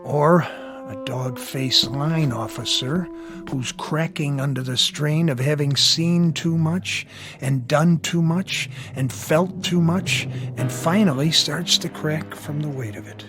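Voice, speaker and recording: An actor playing a composed, rational army medical officer who sounds doubting, in a radio drama. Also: male voice, a little high, takes it slowly, music in the background. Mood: skeptical, measured.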